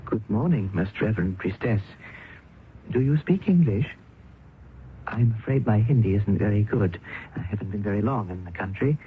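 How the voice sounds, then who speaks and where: A man's voice: fairly low pitch, animated, moderate pace, lightly acted. An actor playing a young male surgeon, bold and insistent, in 1970s radio drama style, on a tinny old radio recording with hiss. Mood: determined. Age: young adult. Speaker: doctor.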